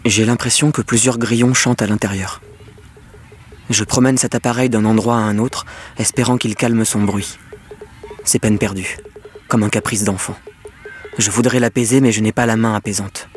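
An actor playing a troubled technician in an audio drama, speaking poetically in short, halting, urgent phrases. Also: male voice, mid-range voice, slight background noise, natural voice. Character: troubled masked male technician pleading with his measuring device. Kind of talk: French audio fiction monologue.

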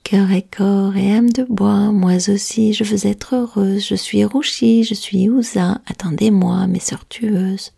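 A woman voicing a murdered young woman reborn as a magic object, who pleads in a slow sing-song whisper. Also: medium pitch, fairly even intonation, gravelly, dark tone, husky, no room sound, studio quality, full character voice. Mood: plaintive.

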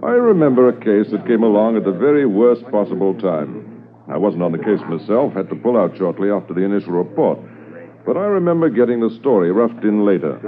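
Actor as calm low-voiced police inspector, old radio. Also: male voice, brisk, natural voice. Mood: storytelling. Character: calm, reminiscing male mounted police inspector. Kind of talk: 1950s radio drama acting. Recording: muffled 1950s radio recording.

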